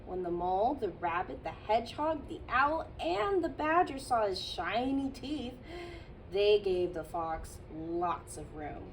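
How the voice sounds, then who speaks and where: Gentle, slow woman reading a storybook. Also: mid-range voice, big pitch swings, recorded from a distance, a little hiss or hum, rough recording. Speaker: childcare teacher reading aloud. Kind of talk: children's picture book read-aloud.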